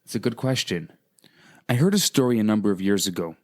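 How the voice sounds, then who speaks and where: A man, a rabbi on the radio, telling stories with deliberate phrasing and dramatic repetition. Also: fairly low pitch, expressive. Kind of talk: conversational radio storytelling.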